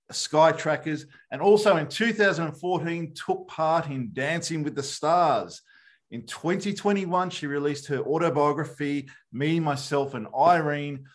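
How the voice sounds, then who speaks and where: A man with a fairly high-pitched voice. He speaks as a podcast host, presenting introductions and putting questions to a guest in an interview.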